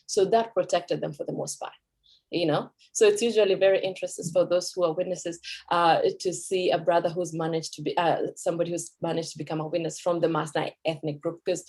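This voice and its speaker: A woman's voice: on the low side, darkish tone. A Kenyan woman whose first language is Kikuyu, giving a conversational talk at a cultural event as a Swahili teacher, with fillers and self-corrections.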